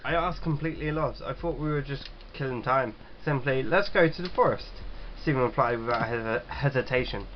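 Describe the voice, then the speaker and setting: A man's voice: mid-range voice, fairly quick, few pauses, muffled recording, a little hiss or hum. A man hosting a casual gaming read-aloud, jokey and sweary, stumbling a little as he reads. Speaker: gaming video host.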